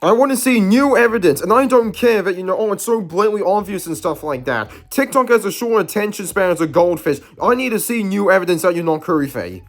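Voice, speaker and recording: A man's voice: high voice, expressive, fairly quick, few pauses. A man hosting a video, giving casual, rambling, argumentative commentary. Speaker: YouTube host.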